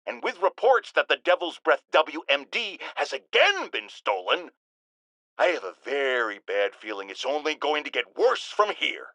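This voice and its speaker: A man's voice: medium pitch, sharp tone, dry recording, poor audio. A man playing a blustering, irascible middle-aged talk-radio host in a video game, ranting with theatrical indignation and sarcasm. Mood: grave warning. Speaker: call-in radio show host.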